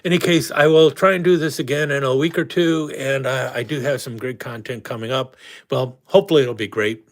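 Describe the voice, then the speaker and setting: A man's voice: mid-range voice, steady tone, fairly quick. A man hosting a casual live stream who is losing his voice, talking in a rambling way with many restarts. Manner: rambling, frequent restarts and fillers. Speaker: host.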